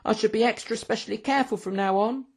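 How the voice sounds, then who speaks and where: A meek young storybook waiter, speaking in a high voice. He sounds flustered and apologetic, pleading nervously with his betters. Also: fast talker, slightly rough.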